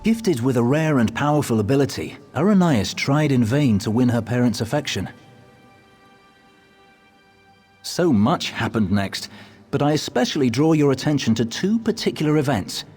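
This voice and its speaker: Expressive, solemn male storyteller. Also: mid-range voice, husky, soft background music. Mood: grave, concerned. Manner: measured, solemn, expository. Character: wise, earnest male storyteller guide in a fantasy world. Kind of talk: video game voice acting, storytelling.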